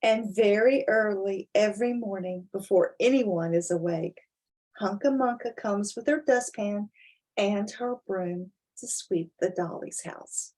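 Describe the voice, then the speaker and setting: Slightly husky woman reading storybooks. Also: medium pitch, a little slow, poor audio. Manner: expressive, animated storytelling. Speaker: children's story reader. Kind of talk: dramatic storybook reading with casual explanatory asides.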